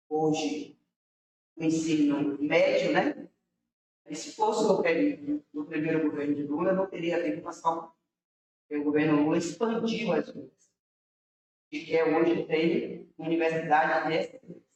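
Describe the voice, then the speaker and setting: A woman's voice: low voice, slight background noise. Woman guest, earnest rambling storyteller, far off in a very echoey room. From interior of Bahia, Brazil. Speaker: city councilor guest. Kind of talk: casual storytelling to a young audience.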